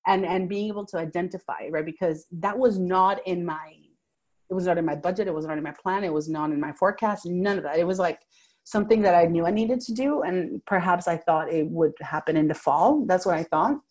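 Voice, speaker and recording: A woman speaks as an interview guest. She is emphatic and lively, often restarting her sentences. Her voice sits a little low. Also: fairly quick. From Venezuela. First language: Spanish. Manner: animated, emphatic, frequent "like" fillers and restarts. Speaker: guest, manifestation coach. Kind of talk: casual interview talk.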